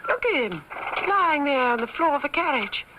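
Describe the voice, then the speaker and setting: An actress playing an anxious, soft-hearted young country wife and reluctant accomplice, hesitant in speech, in an old-time radio drama. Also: female voice, sits high, sing-song, normal speed. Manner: hesitant, nervous. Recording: very noisy old radio recording.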